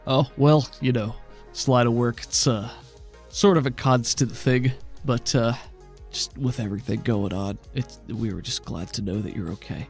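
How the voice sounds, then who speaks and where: A man playing a gentle, loving father in a tabletop game, speaking in halting, reassuring tones. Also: mid-range voice, soft background music, natural voice. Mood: relieved, tender. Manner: halting, soft reassurance with frequent stammers.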